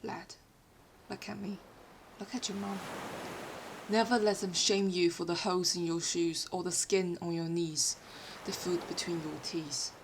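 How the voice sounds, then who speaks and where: Soft, tender young mother voiced by a woman. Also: fairly low pitch, fairly even intonation, measured pace, background noise. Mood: loving, earnest. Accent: British-influenced non-native English. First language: not English. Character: poor, proud, tender young working-class mother.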